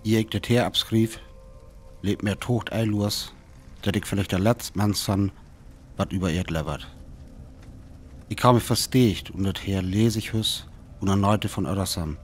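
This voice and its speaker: A man's voice: fairly low pitch, measured pace, pauses often, close to an everyday voice. A man with a North Frisian accent from Föhr acting a grave survivor narrating a radio drama, with noisy ambience behind him. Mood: grave, sombre. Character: grave male survivor recounting the end of mankind.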